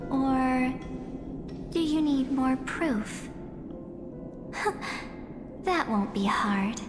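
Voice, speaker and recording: An actress playing a mysterious, composed young woman in a video game, speaking in a measured, softly teasing, theatrical way. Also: high-pitched, animated, full character voice. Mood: teasing, intimate. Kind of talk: scripted video game dialogue. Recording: reverberant game audio with music.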